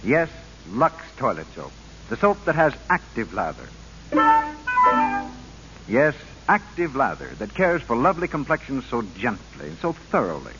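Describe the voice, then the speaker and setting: A man announcing on 1940s radio, delivering a polished, persuasive scripted sponsor pitch. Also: huge pitch swings, moderate pace, loud music behind the voice. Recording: hissy, dull old radio broadcast.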